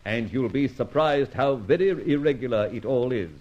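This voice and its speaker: An actor playing a brisk, commanding police inspector with mocking sarcasm, in old radio detective drama style. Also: male voice, medium pitch, darkish tone, close to an everyday voice. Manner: barking orders, sarcastic, theatrical. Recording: dull lo-fi old radio recording.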